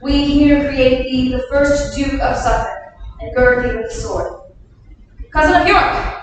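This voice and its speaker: A woman reading as a gentle young king, courteous and gracious, in a staged Shakespeare reading. The voice sits high and is heard from across an echoing room. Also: a little slow, soft background music. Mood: regal. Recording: echoey room, mic far off.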